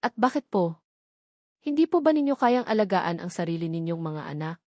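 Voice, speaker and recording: A woman's voice: on the low side, very animated, fairly quick, a little mellow, no echo, studio quality. A woman with a Filipino accent voicing a hard, relentless male lawyer questioning formally in a dramatic story. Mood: accusing. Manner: formal, pressing interrogation.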